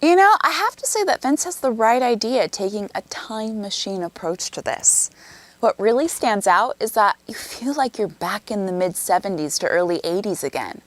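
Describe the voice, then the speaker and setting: A woman's voice: medium pitch, expressive, normal speed. A woman presenting a scripted promotional narration. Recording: music bed under voice.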